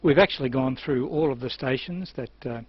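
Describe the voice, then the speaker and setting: A man's voice: mid-range voice, moderate pace. An Australian man giving an unscripted technical demonstration, explaining things in a hesitant, matter-of-fact way. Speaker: amateur television operator and presenter. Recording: dull lo-fi sound with clear hiss.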